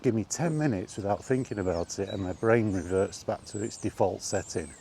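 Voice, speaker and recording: A middle-aged man in a casual, rambling vlog chat, speaking conversationally with halting pauses while out walking. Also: lowish voice, creaky, mellow. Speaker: gaming vlogger. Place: outdoors, walking. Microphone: microphone with wind guard. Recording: windy outdoor recording, background noise.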